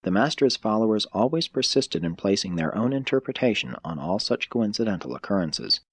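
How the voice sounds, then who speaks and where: Deep, husky male audiobook narrator. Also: sing-song, a little fast, no room sound. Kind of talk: scripted narration of a religious text.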